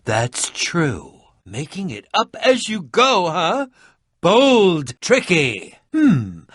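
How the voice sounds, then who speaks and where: An eccentric game adventurer with a bright, forceful voice that swings widely in pitch. He is pompous and sardonic, choosing formal words and delivering them with theatrical flair. The sound is dry and close. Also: husky, dry recording, full character voice. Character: eccentric, prickly, melodramatic bearded male adventurer. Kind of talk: animated video game voice acting.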